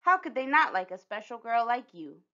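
A woman's voice: a little high, sing-song, moderate pace, pushed and bright, dull audio, pitched above the performer's own voice. A woman voicing a cheerful, reassuring father in a children's storybook.

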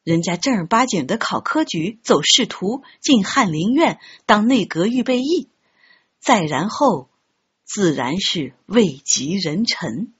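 A woman reading a novel aloud as an audiobook narrator, in an expressive storytelling style. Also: mid-range voice, unhurried, husky. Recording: dull, muffled lo-fi audio.

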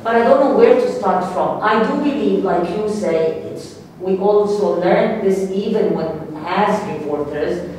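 A woman's voice: mid-range voice, unhurried, few pauses, darkish tone. A middle-aged woman with an Egyptian Arabic accent, a journalist asking a question from the audience, hesitant with many fillers. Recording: echoey room, distant mic, faint hiss.

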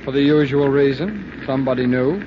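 A man's voice: mid-range voice, brisk, natural voice. A man playing a wry, guarded city attorney. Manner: wry, defensive. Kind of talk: 1950s radio drama acting. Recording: dull vintage radio recording with hiss.

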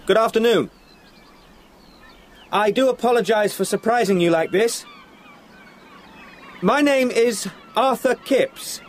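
An actor playing a polite, unnerved younger English solicitor in a radio play, speaking in a formal, dramatic way. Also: male voice, high voice, takes it slowly, pauses often, slight background noise. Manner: well-mannered, formal, dramatic.

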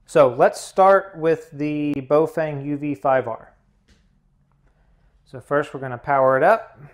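A man hosting a tutorial, giving hands-on instructional narration in a measured, methodical way. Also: fairly high pitch, steady tone. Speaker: tutorial host.

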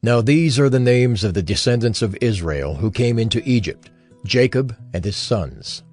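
A man narrating scripture in a solemn, deliberate way for a dramatized audio Bible. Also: mid-range voice, animated, a little mellow, soft background music.

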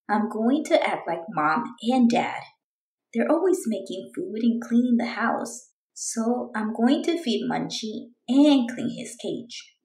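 A woman voicing an eager, determined young girl reading out her storybook diary in an earnest, expressive way. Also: a little high, echoey room, low-quality recording.

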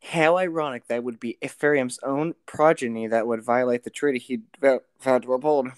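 A man's voice: mid-range voice, steady tone, normal speed, smooth, dry recording. Male co-host, mid-range voice, loose slangy banter, dry recording. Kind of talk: casual joking chat.

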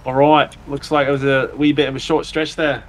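A man putting on an as a bossy but matey film crew director in improvised game roleplay, with halting delivery. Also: mid-range voice, little pitch movement, natural voice. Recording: faint car noise behind voice.

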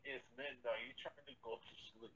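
A man's voice: mid-range voice, steady tone, normal speed, pushed and bright. A man improvising a phone skit as a prank caller, stammering and repeating himself, on a lo-fi call.

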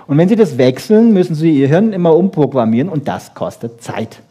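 A man's voice: a little high, sing-song, fairly quick, dark tone, breathy edge. A man giving a colloquial science lecture, emphatic, with rhetorical questions and frequent little check-ins to his listeners.

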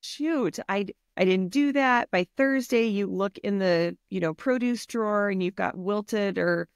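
A woman's voice: mid-range voice, measured pace, smooth, no echo. Encouraging woman wellness coach, dry sound. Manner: earnest, encouraging, practical. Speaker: health and wellness coach, guest. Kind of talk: podcast interview.

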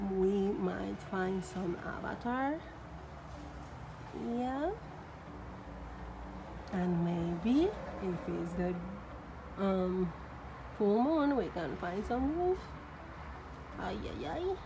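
A woman showing her doll collection in a bedroom, cooing and gushing in a slow baby-talk way with soft laughs, filmed on a handheld phone. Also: medium pitch, low-quality recording. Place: bedroom at home. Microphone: phone camera held by the speaker.

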